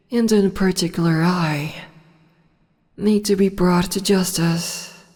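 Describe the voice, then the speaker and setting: A woman's voice: on the low side, a little mellow, in a large hall. A woman voicing a haughty, commanding demon empress who teases in a condescending way, in an audio roleplay. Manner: condescending, slow and teasing. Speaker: voice actress.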